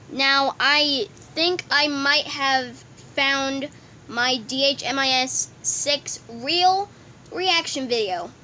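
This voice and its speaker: A woman's voice: high-pitched, sharp tone. A woman talking casually to viewers as the creator of an online comic dub channel. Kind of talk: casual YouTube intro. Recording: hissy home recording.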